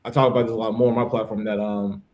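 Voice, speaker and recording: A man's voice: lowish voice, little pitch movement, racing, darkish tone. Chatty American man in his thirties. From United States. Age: 30s. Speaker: podcast participant, English teacher. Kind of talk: casual conversational interview.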